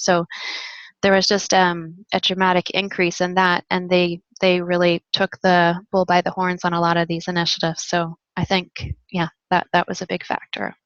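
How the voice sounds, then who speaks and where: A woman's voice: on the low side, fairly bright tone, no echo. A woman presenter who is losing her voice, answering webinar questions with fillers and restarts. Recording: slightly dull lo-fi audio.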